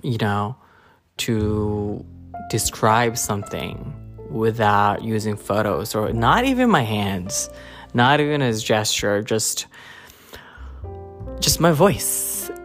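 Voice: medium pitch, animated, slow pace, faint music behind. A podcast host speaking casually and unscripted in a hesitant, rambling, informal way.

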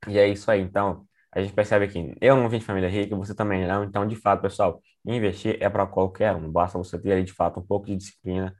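A man hosting a podcast, chatting informally and briskly with his guest and signing off to listeners. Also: low voice, level tone, darkish tone. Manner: brisk, informal, sign-off phrases. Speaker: podcast host. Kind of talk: casual interview chat.

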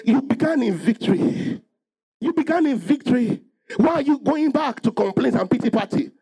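High-pitched, creaky-voiced male preacher. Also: expressive, moderate pace, warm tone. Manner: emphatic, declarative, repeating phrases for effect.

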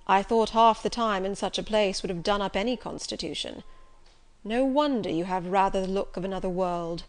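Woman putting on a gruff, imperious gentleman. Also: high-pitched, moderate pace. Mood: imperious, probing. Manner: curt, commanding, interrogating. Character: gruff, brusque, imperious middle-aged master of the house.

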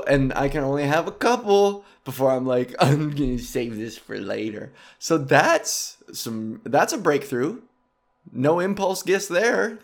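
A man in his thirties talks through a comedic podcast monologue, wandering off on tangents and poking fun at himself. His voice sits a bit high for a man and swings between quick and slow stretches. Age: 30s. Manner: rambling, self-deprecating, profane, jumps between tangents. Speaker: podcast host.

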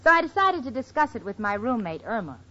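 An actress playing a sensible, wry young single woman, confiding and animated, in a 1940s radio sitcom. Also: on the high side, fast talker. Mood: confiding, eager. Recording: dull old radio recording, studio audience.

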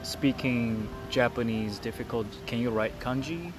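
A man's voice: medium pitch, a little slow, over music. A man interviewing a guest in casual, loosely worded English, stringing his questions together in long rambling runs.

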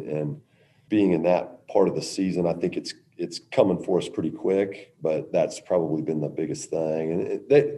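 A middle-aged man, a women's basketball coach, answering press questions in a halting way with many restarts. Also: very deep voice, creaky, mellow.

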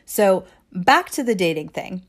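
A woman with a cold, her voice raspy and her nose stuffed, hosting a solo podcast and pausing for breath as she talks. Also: mid-range voice, very animated. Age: 20s. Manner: pauses to catch breath. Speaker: podcast host.

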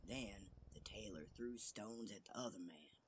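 A man's voice: fairly low pitch, measured pace, background noise. A man narrating a scripted fairy tale as the storyteller. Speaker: storyteller host.

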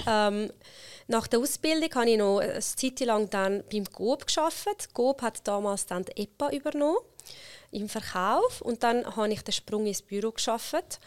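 A woman's voice: a little high, smooth. A middle-aged woman with a Swiss German accent, chatting casually as an interview guest, with many fillers and frequent laughter. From Switzerland.

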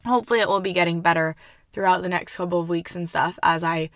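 A young woman hosting a casual book review podcast, her voice hoarse and gravelly from overuse, rambling chattily with casual swearing. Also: on the low side, fast talker, a bit bright, dry recording, clean studio sound. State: hoarse, worn-out voice. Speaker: podcast host.

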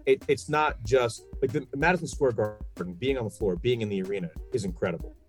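A man's voice: medium pitch, brisk, faint music behind. A man from New York in a casual, opinionated sports conversation, talking emphatically and posing rhetorical questions. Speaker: sports podcast guest and radio play-by-play broadcaster.

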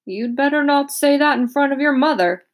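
A woman's voice: high voice, moderate pace, voice pushed up, close to an everyday voice. A woman doing a jovial, teasing dentist. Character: genial, playful male dentist, middle-aged.

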